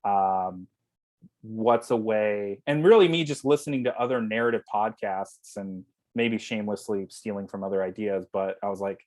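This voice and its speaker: A man's voice: lowish voice, darkish tone, no echo. A man speaking casually as a writer and director in an online Q&A. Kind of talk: casual panel Q&A talk. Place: remote video call.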